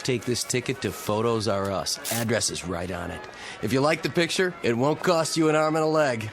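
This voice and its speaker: An actor playing a smooth-talking male street photographer in a television drama, delivering breezy salesman patter. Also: medium pitch, normal speed, faint music behind, lightly acted. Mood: breezy, selling.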